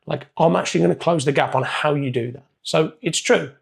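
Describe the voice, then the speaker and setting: Coach guy with a croaky hoarse voice, raspy and gravelly, chatty motivational talk. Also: on the high side, brisk. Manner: casual, chatty, loosely structured. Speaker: performance coach.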